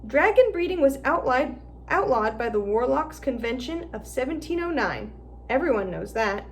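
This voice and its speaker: An eager young schoolboy wizard, read by a woman, boastful and lively, always keen to show off what he knows. The voice is fairly high, with faint noise underneath. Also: moderate pace. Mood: explaining. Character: eager schoolboy wizard, know-it-all about dragons.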